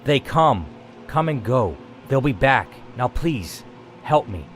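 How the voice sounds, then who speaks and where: A man's voice: mid-range voice, sing-song, slow pace, soft background music. A man voicing a dying, desperate male scientist who begs for mercy in a strained, pleading way.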